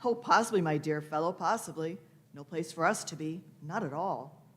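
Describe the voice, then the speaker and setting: A woman's voice: low voice, moderate pace, natural voice. Musing, imperious elderly time traveler played by a woman. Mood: brisk, dismissive. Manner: musing, repeats phrases, old-fashioned endearments. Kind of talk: radio play performed by amateur players.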